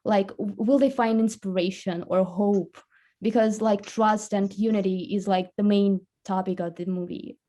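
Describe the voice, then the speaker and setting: A woman's voice: mid-range voice, steady tone, moderate pace, dark tone. A woman interviewer asking questions casually, tentatively feeling her way through each one.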